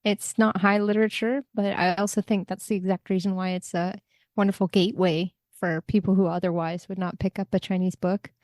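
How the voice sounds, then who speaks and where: A woman's voice: mid-range voice, level tone, fairly quick, darkish tone, no room sound, studio quality. A woman, a guest on a podcast, speaking up firmly for her view in a casual chat.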